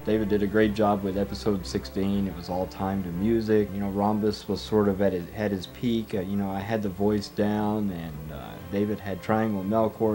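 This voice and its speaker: A man's voice: low voice, dark tone. A middle-aged man casually recalling amateur audio productions in an interview.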